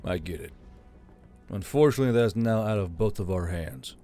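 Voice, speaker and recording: A man's voice: low-pitched, animated, normal speed, warm tone, faint music behind. A man playing a weary, stern militia leader burdened by guilt in an audiobook drama. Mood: hard, restrained.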